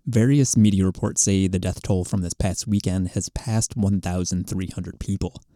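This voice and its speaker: Male American radio reporter, low register, scripted read, dry close sound. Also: fairly even intonation, normal speed, dark tone, no room sound. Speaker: news editor and reporter.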